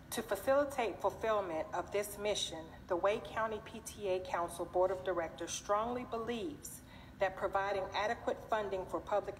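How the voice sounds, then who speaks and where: Middle-aged woman reading her statement at a podium, long echo in a big hall, faint room noise. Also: medium pitch. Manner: reads steadily from notes at a podium. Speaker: PTA council president. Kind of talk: prepared public hearing statement. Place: large public meeting chamber, indoors. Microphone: podium microphone, filmed from the audience.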